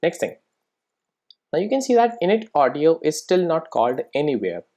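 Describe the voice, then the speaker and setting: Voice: mid-range voice, very animated, moderate pace, dark tone. A programming instructor giving a casual step-by-step screen-recorded tutorial, often checking in with "right?" and "okay".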